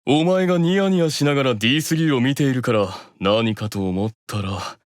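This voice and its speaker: A man playing a stern, composed, arrogant demon eldest brother in an anime audio drama, speaking coolly and deliberately. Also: mid-range voice, dry recording, studio quality, close to an everyday voice. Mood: suspicious, stern. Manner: cool, commanding, deliberate.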